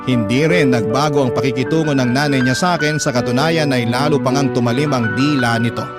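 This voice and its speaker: A man's voice: mid-range voice, fairly quick. A man reading a listener's letter as a radio host, in a dramatic first-person storytelling style. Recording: loud background music. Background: prominent music.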